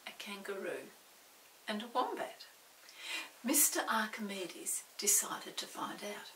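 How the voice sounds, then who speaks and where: Gentle, slow-reading woman in her sixties. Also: mid-range voice. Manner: gentle, often whispering to a puppet. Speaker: storytime host reading to children. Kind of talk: children's picture-book reading. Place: indoor room with a storybook set. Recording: roomy, distant mic, faint hiss.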